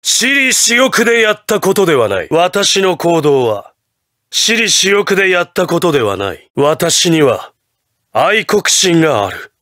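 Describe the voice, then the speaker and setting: An actor playing an imperious, ruthless patriotic president in a Japanese anime fighting game, with grandiose, theatrical declarations. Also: male voice, high-pitched, big pitch swings, measured pace, pushed and bright, no echo, studio quality, heavily acted. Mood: earnest, resolute.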